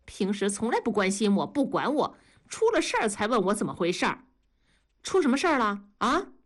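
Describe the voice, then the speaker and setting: A woman reading a story aloud, voicing an upset teenage girl who shouts accusingly at her father. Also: wildly swooping pitch, normal speed, heavily acted. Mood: angry, tearful. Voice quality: shrill, accusing.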